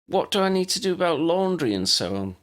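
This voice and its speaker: Young newcomer girl for audiobook, male performer: high swooping pitch, polite, curious, hesitant. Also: big pitch swings, fairly quick, fairly bright tone. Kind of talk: audiobook character voice.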